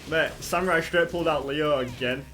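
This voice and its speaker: A man's voice: on the high side, a little fast, bright, forceful tone. A man hosting and commentating a fighting-game stream, laughing and bantering over voice chat. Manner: laughing, banter-heavy, swearing. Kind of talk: casual esports commentary. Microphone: online voice chat. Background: game music.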